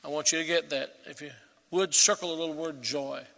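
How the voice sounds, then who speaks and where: A man preaching a sermon as pastor, earnest and emphatic, swelling to loud peaks and dropping to quiet. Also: fairly high pitch, fairly even intonation, brisk, gravelly, big-room sound.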